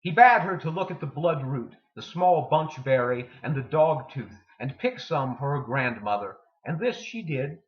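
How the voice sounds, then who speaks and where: A man giving a dramatic poetry reading with deliberate, theatrical delivery and emphatic pauses. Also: a little high, very animated. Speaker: poetry reader. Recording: dull lo-fi sound in echoey room.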